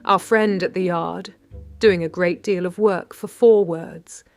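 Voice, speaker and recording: An actress with a British accent playing a shrewd 1930s London lady detective, narrating in a measured, wry and precise way. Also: on the low side, fairly even intonation, creaky, no room sound, clean studio sound, faint music behind. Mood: composed, watchful. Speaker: narrator and lead character. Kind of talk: first-person detective audio drama narration.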